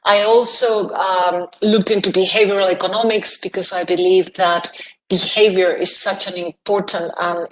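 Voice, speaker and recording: A middle-aged woman from Chile introducing herself as a panelist on a video call, in a measured, steady way with small pauses. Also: on the low side. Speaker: panelist, insurance executive. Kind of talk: self-introduction on an online panel. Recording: echoey room with faint noise.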